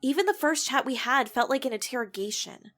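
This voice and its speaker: A woman's voice: high voice, lively intonation, brisk, a bit bright. A woman playing a frank, reflective young professor in a comic dub, with music behind her voice. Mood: exasperated. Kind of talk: dramatic voice acting and reflective narration for a comic dub.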